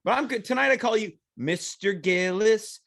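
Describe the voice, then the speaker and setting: A male host with a noticeably high voice talks loosely and playfully, piling up self-mocking jokes. He sounds congested from a lingering cold. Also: moderate pace, vocal fry, fairly bright tone. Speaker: talk show host. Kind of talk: casual comedic chat.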